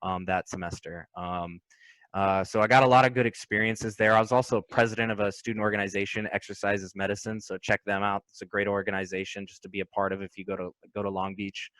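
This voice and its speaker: A young man with a lowish, fairly flat voice talks earnestly and at length on a podcast, friendly and a little rambling. His voice sounds close and dry. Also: fast talker, dry recording, poor audio. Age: 20s. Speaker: podcast co-host. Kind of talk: casual chat.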